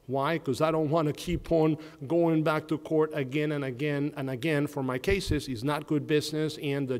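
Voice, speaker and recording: A man's voice: fairly high pitch, darkish tone, in a large hall. A man with a noticeable non-Southern accent, a defense attorney speaking earnestly on a conference panel, posing questions and answering them himself. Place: law school event hall.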